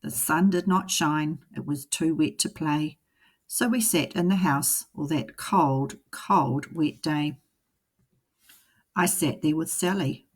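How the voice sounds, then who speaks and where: A woman, a teacher, reading a children's story aloud in an expressive storytelling style. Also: low-pitched, slow pace, breathy edge.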